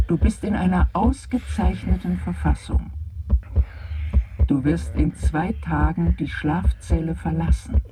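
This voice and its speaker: A male actor playing a spaceship's wake-up computer in a German radio play, speaking in a soothing, deliberate, instructing way. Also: on the high side, background noise.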